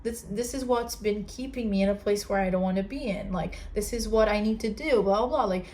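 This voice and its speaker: A woman's voice: mid-range voice, a little fast, darkish tone, a little hiss or hum. A young woman with a Portuguese accent hosting a casual solo podcast, talking earnestly with many fillers and restarts. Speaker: podcast host.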